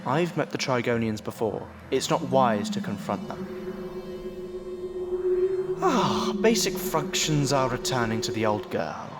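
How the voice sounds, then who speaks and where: Guy doing seasoned sci-fi adventurer, mid pitch, explains stuff dramatically, music under it. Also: expressive, unhurried, long pauses, natural voice. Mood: urgent. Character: seasoned time-travelling male adventurer, knowing and protective. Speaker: lead actor in a fan audio drama. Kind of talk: scripted audio drama acting.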